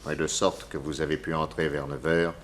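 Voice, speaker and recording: An actor playing a methodical, probing detective who questions in a measured, pointed way, in 1960s radio drama style. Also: male voice, a bass voice, level tone.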